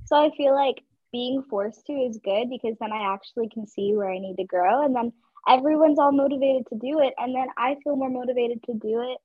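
Young female podcast co-host from Georgia, husky and eager, on a dull, lo-fi mic. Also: on the high side, a little fast, dry recording. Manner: bubbly, excitable, talks with lots of fillers. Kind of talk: casual chat. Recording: dull lo-fi sound.

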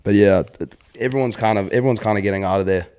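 A young man with a South African accent that has picked up a slight American twang. His voice sits fairly low, and he talks in a loose, rambling way, swearing often in casual conversation. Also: racing, darkish tone, clear, resonant tone. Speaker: guest. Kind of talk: casual chat.

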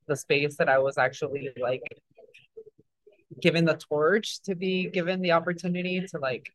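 A man's voice: on the high side, fairly bright tone, no room sound, low-quality recording. Rambling young man, dry sound. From Mexico. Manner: rambling, many fillers and restarts. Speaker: interview guest. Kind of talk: casual interview talk.

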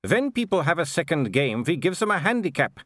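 Man as a high, gleefully taunting werewolf villain. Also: wildly swooping pitch, takes it slowly, a bit bright, no echo, studio quality, heavily acted. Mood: cheerful menace. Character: cheerful, mocking young male werewolf villain.

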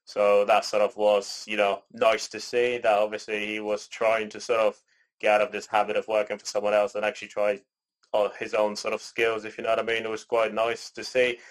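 Guy with a lowish monotone voice, rambling podcast chat, lots of filler. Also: a little fast, rough recording. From born in Poland, raised in Canada. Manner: rambling, lots of "you know" and "sort of". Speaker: podcast co-host. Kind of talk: casual chat.

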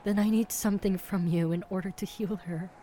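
A woman's voice: mid-range voice, steady tone, fairly quick, mellow, a little hiss or hum, lightly acted. A woman playing a fierce, protective, vengeful mermaid sister in an audio drama, with an imperious, threatening delivery. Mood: grave, urgent.

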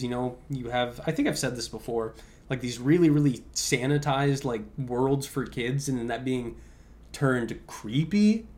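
A man's voice: medium pitch, darkish tone. A young man hosting a podcast, talking casually and informally in a rambling way, with "like" sprinkled all through his sentences. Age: young adult. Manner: informal, conversational, heavy use of "like". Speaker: podcast host. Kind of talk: casual rambling podcast talk, answering listener questions.

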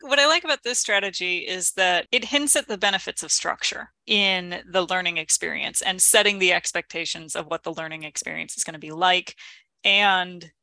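A woman speaking as co-host of an educational podcast, explaining in an articulate, measured, conversational way. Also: lowish voice, sharp tone, no echo, rough recording. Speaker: podcast co-host, instructional designer.

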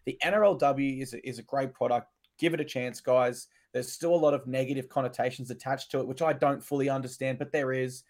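A man co-hosts a casual rugby league podcast. His voice is hoarse and only partly back. He reels off numbers with eager energy. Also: medium pitch, steady tone, a little fast, a little mellow. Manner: enthusiastic, stat-heavy delivery. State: hoarse, voice recovering. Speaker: podcast co-host. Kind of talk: casual sports podcast chat.

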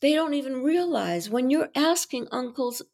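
A woman's voice: high-pitched, normal speed, creaky. A woman from Belgium whose first language is French, a wedding coach and officiant explaining her work in a confident, theatrical podcast interview. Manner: expressive, theatrical delivery.

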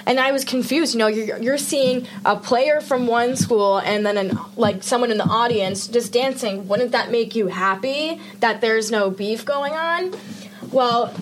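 A young woman co-hosting a casual podcast, telling stories in a loud, animated way. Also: fairly high pitch, a little hiss or hum. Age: young adult. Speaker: podcast co-host. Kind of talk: casual chat.

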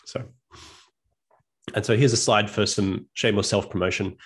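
A man's voice: fairly low pitch, steady tone, moderate pace, breathy edge. A man in his thirties with an Australian accent, giving a friendly, self-deprecating slide presentation as a guest physicist over a video call.

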